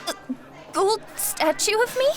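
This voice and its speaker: A woman's voice: extremely high pitch, lively intonation, a little slow, some chatter behind. A woman playing a naive, gluttonous young woman in an audio drama, childlike, wide-eyed and blunt in manner. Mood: innocent.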